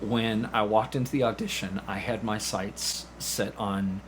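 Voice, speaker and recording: A man chatting casually as an interview guest, halting as he tells anecdotes and acting out bits of dialogue. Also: fairly low pitch, steady tone. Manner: halting, acts out quoted dialogue. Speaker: podcast guest, amateur actor. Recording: buzzing air conditioner noise behind the voice. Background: air conditioner hum.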